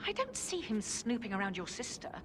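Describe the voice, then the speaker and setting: An anxious, hushed wife. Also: female voice, a little high, huge pitch swings, a little fast, over loud music. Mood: worried. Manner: worried, hushed.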